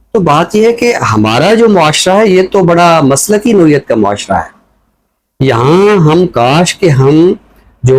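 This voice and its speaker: A man with a Pakistani accent giving reflective talk show commentary in a deliberate, explanatory way, often posing rhetorical questions. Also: mid-range voice, a little mellow, rough recording.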